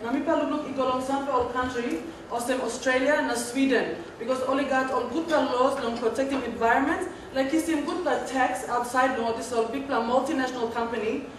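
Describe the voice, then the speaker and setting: This is a high-voiced woman with a Papua New Guinean accent. She answers formally as a government speaker in a parliament, partly improvising. Her voice rings around a very echoey room, with faint background noise. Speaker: government respondent in a practice parliament. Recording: very echoey hall, distant mic, faint noise.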